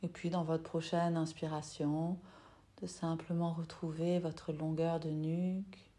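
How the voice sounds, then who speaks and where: A woman yoga nidra teacher leading a guided meditation in a soft, soothing, unhurried way with long pauses. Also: deep voice, level tone.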